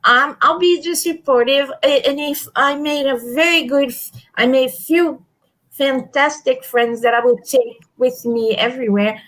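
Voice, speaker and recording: A high-pitched woman chats with emphatic repetition and frequent restarts. Her accent comes from a stroke and gives her speech a halting, uneven quality. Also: a bit bright, poor audio. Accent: non-native, from a stroke. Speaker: livestream guest. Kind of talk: casual chat.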